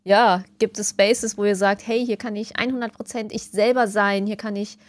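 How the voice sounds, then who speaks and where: A woman's voice: medium pitch, steady tone, normal speed, dry recording. A woman co-hosting a live call-in radio show, talking to listeners in an upbeat, direct way.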